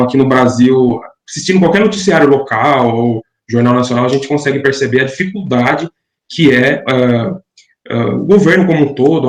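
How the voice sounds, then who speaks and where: Man host asking questions on a call, mid-pitched, halting, roomy echo. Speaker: interviewer. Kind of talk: casual interview questions.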